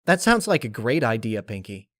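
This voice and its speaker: A man voicing a brash but trusting female cartoon pegasus in a direct, loud storybook reading. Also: mid-range voice, very animated, normal speed, dry recording, studio quality, lightly acted. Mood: agreeable. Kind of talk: dramatic fan-fiction character reading.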